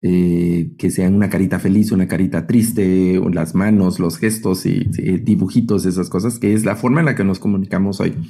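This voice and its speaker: A man's voice: deep voice, fairly even intonation, moderate pace, hardly any pauses, dark tone, breathy edge. A man with a Guatemalan accent teaching an online Bible class as a professor, explaining didactically and often checking in with short tag questions.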